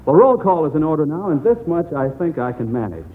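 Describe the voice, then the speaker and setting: A man's voice: a little high, very animated, a little fast, darkish tone, rough recording. A man hosting a live 1940s radio quiz show as a substitute, joking jovially as he addresses children.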